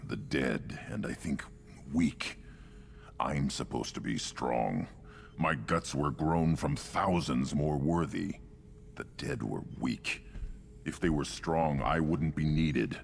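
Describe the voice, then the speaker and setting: A massive young alien warrior from a video game, brooding and brutal. The voice is extremely deep and heavily gravelled, dragging slowly through short, blunt statements. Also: male voice, slight background noise, exaggerated voice. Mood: self-scornful. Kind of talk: scripted video game dialogue.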